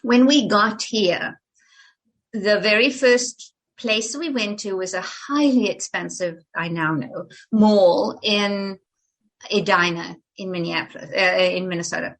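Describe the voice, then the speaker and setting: A woman's voice: medium pitch, measured pace. An older woman from South Africa, with a polished, English-sounding South African accent, talking animatedly as a guest in an interview, theatrical and full of restarts. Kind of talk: conversational interview.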